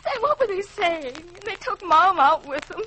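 A woman's voice: very high-pitched, very animated, normal speed, bright, forceful tone, full character voice. A woman playing a devoted, frantic detective's secretary in an old-time radio drama, pleading and breathless with melodrama. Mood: panicked. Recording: faintly noisy vintage radio recording.

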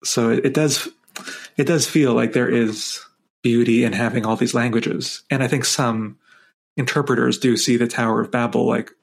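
A man's voice: mid-range voice, steady tone, moderate pace, creaky. A man co-hosting a podcast, reflecting on the Torah portion in loose run-on phrases with frequent "like". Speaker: podcast co-host. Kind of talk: casual discussion of the weekly Torah portion.

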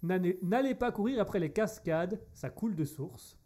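A man's voice: sits high, expressive, normal speed, warm tone. Giggly, playful male radio co-host. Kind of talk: joking radio banter.

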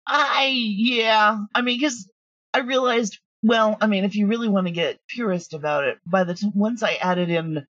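A self-assured middle-aged woman talks freely in an interview. She is frank and chatty, at an ordinary pitch, and the recording is dry but slightly dull and lo-fi. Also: moderate pace, a bit bright, no echo. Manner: blunt, self-assured, talkative. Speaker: guest, audio drama writer and producer. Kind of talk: candid interview conversation.

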